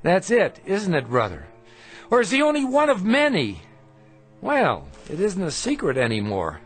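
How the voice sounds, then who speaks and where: A man's voice: high-pitched, huge pitch swings, moderate pace, slightly rough, full character voice. An actor playing an ill man, weak with fever, who questions and accuses his hosts indignantly in a radio drama. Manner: probing, indignant, sharp questions. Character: ill, defiant male traveler confronting monks. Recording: radio play with music behind.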